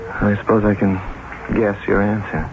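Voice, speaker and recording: A man's voice: fairly low pitch, flat delivery, normal speed, natural voice. Man playing an earnest, wistful dreamer, tinny radio sound. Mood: resigned. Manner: polite, tentative. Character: earnest, wistful male dreamer pleading for a loan. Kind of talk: radio drama acting. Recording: tinny, hissy old radio recording.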